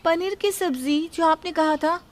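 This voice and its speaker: A plaintive young daughter-in-law, voiced by a woman narrator in a moral story. Her voice is very high and insistent, always justifying herself and shifting the blame. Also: measured pace. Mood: polite. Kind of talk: acted dialogue in a Hindi moral story.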